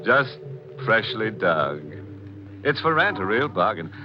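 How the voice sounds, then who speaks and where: A man's voice: lowish voice, big pitch swings, background noise. An actor playing a ghoulish, theatrical host and narrator of a 1940s radio horror show, drawing out his words with sinister relish. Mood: gleefully macabre. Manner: drawn-out, pun-loving, sinister relish. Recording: dull 1940s radio recording, organ music.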